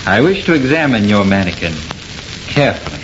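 Resolute investigator, muffled old radio. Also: male voice, mid-range voice, very animated, brisk, noisy background. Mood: grave. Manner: decisive, serious. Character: resolute, grave male investigator of the uncanny. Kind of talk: 1930s radio horror acting.

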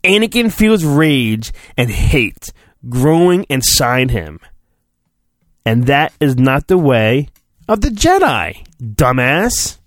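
Theatrical, sarcastic man with animated, swooping pitch. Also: slow, dry recording, studio quality. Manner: theatrical, swinging into deadpan asides; sarcastic, goofy. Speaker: podcast host. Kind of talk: mock-dramatic storybook reading with sarcastic asides.

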